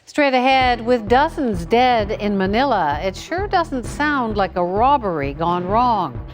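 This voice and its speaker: Lively-voiced woman anchoring the news. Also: a little high, a little slow, soft background music. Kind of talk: scripted news reading.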